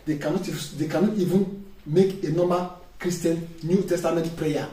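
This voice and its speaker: A man's voice: a little high, steady tone, moderate pace, mellow. A man, a pastor preaching a sermon with emphatic call-and-response questions to his congregation. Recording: echoey room, distant mic, faint noise.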